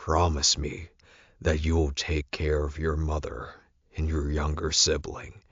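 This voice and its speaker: Man as a very deep, tender dying father. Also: completely flat delivery, slow, fairly bright tone, slightly rough, no echo, dull audio, heavily acted. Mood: tender sorrow.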